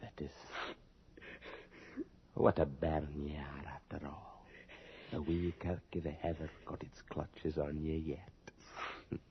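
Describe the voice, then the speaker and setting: An actor playing a sardonic, domineering Scottish body-snatcher in a radio horror drama, taunting and husky, swinging from hushed to forceful. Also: male voice, a bass voice, level tone, takes it slowly, pauses often, slight background noise. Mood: mocking, sinister. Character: menacing, sardonic male medical body-snatcher, cynical and domineering. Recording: muffled lo-fi radio drama recording.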